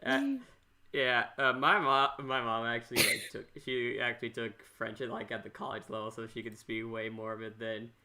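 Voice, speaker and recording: A man's voice: mid-range voice, steady tone, moderate pace, pushed and bright. A man hosting a podcast in casual interview chat, often stammering and repeating the starts of his phrases. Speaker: podcast host.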